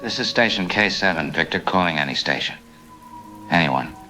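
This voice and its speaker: An actor playing a trapped, despairing male survivor, anxious and halting as he calls out on the radio in a drama. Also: low-pitched, expressive, sharp tone, faint music behind, full character voice, low-quality recording. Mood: urgent, pleading. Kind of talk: apocalyptic radio drama monologue.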